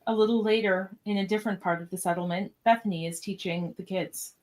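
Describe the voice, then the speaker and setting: Female narrator, mid-range pitch, scripted radio play narration, stage directions. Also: fairly even intonation, quick pace, darkish tone. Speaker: podcast narrator.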